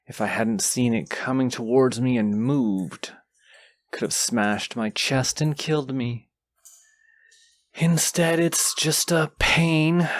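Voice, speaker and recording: A man voicing a menacing, haughty wounded dragon in a fantasy audio roleplay, speaking in a deliberate, pompous way that savors each threat. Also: mid-range voice. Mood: proud, pained.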